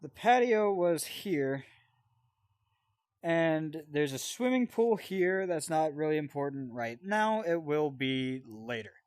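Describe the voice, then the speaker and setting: A man casually telling a personal story in an animated, sweary way, often wandering off into side details. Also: on the high side, slow, no echo. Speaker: storyteller and co-host.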